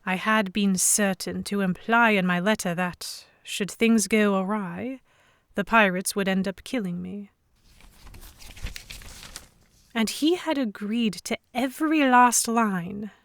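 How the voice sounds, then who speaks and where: A woman playing an anxious, abducted young heroine, narrating a pirate romance audio drama in a measured, introspective, literary manner. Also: mid-range voice, no echo, clean studio sound, close to an everyday voice. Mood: uneasy. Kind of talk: scripted first-person dramatic narration.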